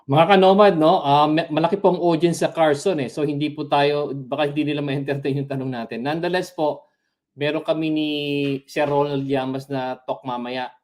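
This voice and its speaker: A man's voice: on the high side, fairly even intonation, a little fast, darkish tone. A man making polite announcements to an audience.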